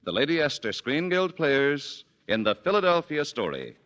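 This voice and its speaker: A man's voice: fairly high pitch, very animated, normal speed, fairly bright tone. A male announcer introducing a 1940s radio drama in a formal, declamatory manner. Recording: old broadcast with music bed.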